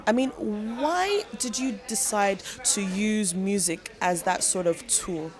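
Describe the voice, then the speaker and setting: A woman's voice: mid-range voice, slow pace, crowd noise. A woman with a mid-range voice interviews a guest in a conversational style. She is affirming and complimentary as she frames her questions and remarks.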